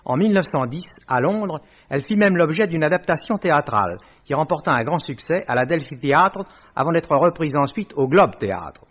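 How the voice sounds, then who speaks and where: A male radio presenter with a moderately high voice. He delivers a formal scripted introduction in a polished, courteous way. The sound is dull, as on an old broadcast recording. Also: expressive, moderate pace, hardly any pauses. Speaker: producer presenting a radio series.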